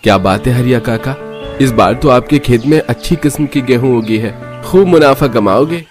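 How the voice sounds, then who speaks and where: A man playing a friendly, practical family man in an animated story. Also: mid-range voice, moderate pace, soft background music, lightly acted. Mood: cheerful. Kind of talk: Hindi animated story dialogue.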